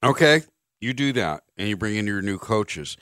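Man, middle-aged, sports radio host, blunt, sarcastic, lowish voice, dry studio sound. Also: animated, moderate pace, a bit bright, dry recording. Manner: blunt, sarcastic, reads listener texts. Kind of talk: opinionated sports talk radio. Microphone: studio microphone.

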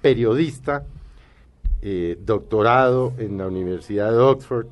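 A man hosting an interview, introducing the guest with many pauses and fillers, then asking eager follow-up questions. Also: on the low side, a little hiss or hum. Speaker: interview host. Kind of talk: TV interview host.

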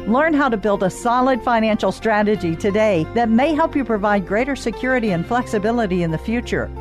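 A woman reading radio advertisements in a smooth, polished way. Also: a little high, animated, a little fast. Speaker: ad announcer. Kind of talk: scripted radio ad read. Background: music bed.

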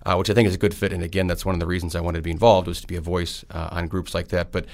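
Low gravelly man in a casual interview. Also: flat delivery, racing, a little mellow. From Wisconsin. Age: 30s. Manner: friendly, rambling, many fillers. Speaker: state senator.

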